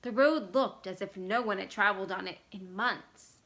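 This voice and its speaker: A woman reading literary fiction aloud as expressive scripted narration. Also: medium pitch, moderate pace. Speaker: library staff story reader.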